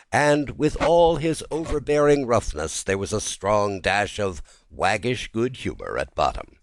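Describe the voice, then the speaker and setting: Low-voiced, animated male storyteller. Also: dry recording. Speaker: narrator reading a classic short story. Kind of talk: dramatic literary audiobook narration.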